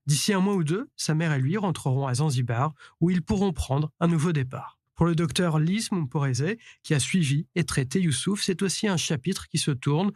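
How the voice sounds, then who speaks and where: Male reporter, higher voice, dry studio voice-over, measured news read. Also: no room sound.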